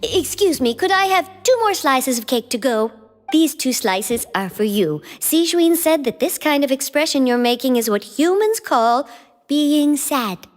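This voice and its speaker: A woman voicing a polite, gentle young non-human girl who speaks in a calm, matter-of-fact way. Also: sits high, measured pace. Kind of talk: video game voice acting. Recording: game audio with reverb and music.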